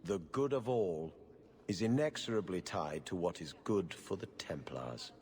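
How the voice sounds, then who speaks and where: A man's voice: deep voice, animated, measured pace, a little mellow. A man playing a harried, sardonic city official in a video game, formal, dry and exasperated. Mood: grave, warning. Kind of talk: video game cutscene acting. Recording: long reverb of a hall.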